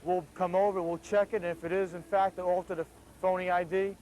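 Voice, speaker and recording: A man's voice: sits high, level tone, fairly quick, a little mellow, slight background noise, rough recording. A male police officer explaining procedures and instructing people in a procedural, matter-of-fact manner. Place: busy street outdoors at night.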